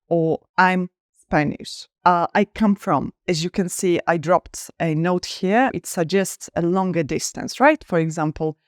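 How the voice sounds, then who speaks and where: A woman teaching an online English lesson, clear, upbeat and encouraging, slowing down to stress example phrases. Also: lowish voice, no echo, clean studio sound.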